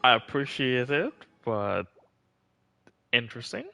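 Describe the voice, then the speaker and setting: A man's voice: medium pitch, very animated, unhurried, creaky, pushed and bright, faint music behind. A man streaming a video game, chatting casually and thinking aloud with questions to himself. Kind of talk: casual gameplay commentary.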